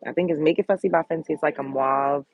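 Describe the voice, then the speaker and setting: A woman with a deep, sultry voice chats casually on her livestream and answers comments bluntly. Her tone is gravelly with a husky edge. She talks in a candid, easygoing way. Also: quick pace, no echo, narrow, tinny audio. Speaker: host.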